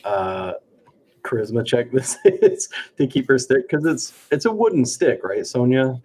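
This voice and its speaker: A man's voice: mid-range voice, animated, unhurried, mellow, slightly rough. A man playing a tabletop roleplaying game, casually describing what his character does.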